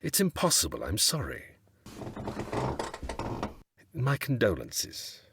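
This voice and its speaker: A man's voice: on the low side, huge pitch swings, normal speed, creaky, noisy background, exaggerated voice. A man playing a polite but flustered, officious transport director in an audio drama, hesitant and bureaucratic. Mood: apologetic.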